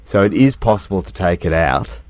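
A middle-aged man hosts a radio show in a very low voice. The sound is dry and close. He chats easily and talks through explanations patiently, leaning on fillers. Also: lively intonation, brisk, darkish tone, no room sound. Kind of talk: casual radio chat.

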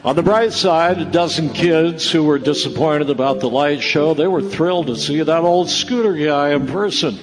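An actor narrating a Christmas radio play as a folksy storyteller. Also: male voice, a little high, moderate pace, hardly any pauses, close to an everyday voice, poor audio. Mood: storytelling. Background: live music.

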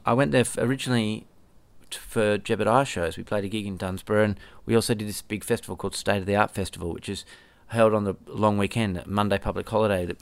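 A man's voice: lowish voice, smooth, no echo. An Australian man from Perth hosting his own podcast, chatting casually and rambling, often correcting himself and swearing now and then. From Perth, Western Australia. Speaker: podcast host and musician. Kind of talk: casual solo podcast chat.

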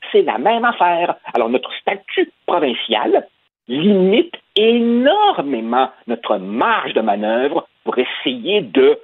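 A man's voice: high voice, huge pitch swings, takes it slowly, vocal fry, breathy edge, dry recording. A man, giving political commentary in an emphatic, repetitive way over the phone. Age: 50s. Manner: emphatic, repeats words, addresses host by name. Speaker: commentator guest. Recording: tinny phone line.

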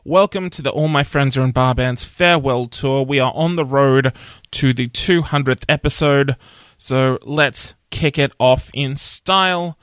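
A man's voice: mid-range voice, a little slow, a bit bright, clean tone, no room sound, clean studio sound. A man hosting a music podcast, chatting enthusiastically and laughing often. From Australia. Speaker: podcast host. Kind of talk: casual interview chat.

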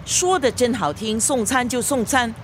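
A woman's voice: fairly high pitch, normal speed, slight background noise, lightly acted. An actress playing an aggrieved elderly mother with a Singaporean accent, scolding and arguing in a radio drama. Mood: nagging, exasperated. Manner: scolding, reproachful, argumentative. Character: nagging, aggrieved elderly mother, a grandmother, fretting over daughter.

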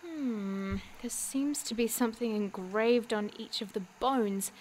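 Actress doing high voiced bookish girl detective, slow n precise, earnest and excitable, audio drama. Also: slight background noise, close to an everyday voice. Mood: puzzled. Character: earnest, excitable young woman sleuth keen on mushrooms.

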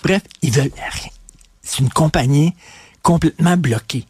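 A man's voice: fairly high pitch, moderate pace, a little mellow, breathy edge, no echo, studio quality. Emphatic Québécois man on radio. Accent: Québécois French. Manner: emphatic, repetitive, many fillers and restarts. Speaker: radio talk host. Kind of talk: opinionated radio commentary.

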